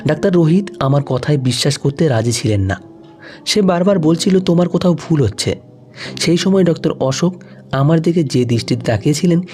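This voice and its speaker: A male storyteller narrates a scripted emotional tale. His voice is warm and mellow, sitting slightly higher than average. Music plays behind his reading. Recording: music under the voice. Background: background music.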